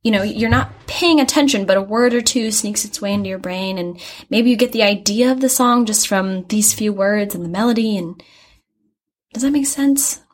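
An earnest young female student played by an actress in an audio drama, with a slightly husky, breathy voice. She talks openly and chattily, rambling and hesitating as she searches for the right words. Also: mid-range voice, moderate pace, natural voice. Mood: searching, explaining. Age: young adult. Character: earnest, chatty young art student who hears angels. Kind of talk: naturalistic audio drama acting.

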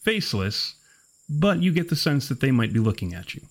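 A man with a medium-pitched voice acts as game master for a horror role-playing game. He describes scenes in a measured way, addressing the players directly. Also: big pitch swings. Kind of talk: narrating a tabletop horror game.

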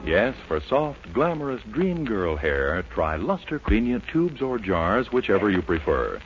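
A man's voice: on the low side, very animated, unhurried, soft background music. An American man announcing in a polished 1940s radio commercial style. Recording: muffled, noisy old radio recording.

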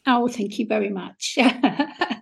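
A woman in her fifties from Austria with an Austrian accent, speaking as a food historian guest in an interview, enthusiastic and measured with soft laughs. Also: a little high, vocal fry, husky. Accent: Austrian German. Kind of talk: interview conversation.

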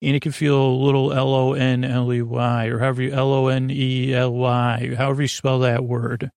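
A man hosting a bedtime podcast in a meandering, halting monologue, drawing out words, wandering into asides and sounding gently silly. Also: mid-range voice, level tone, creaky, clear, resonant tone, no room sound. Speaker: podcast host. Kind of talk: rambling bedtime podcast monologue to help listeners sleep.

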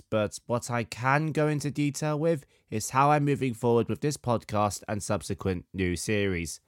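A man in his thirties, slightly hoarse with a sore throat, hosting a podcast in a measured, articulate, scripted narration style. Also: mid-range voice, dry recording, studio quality. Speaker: podcast host, composer.

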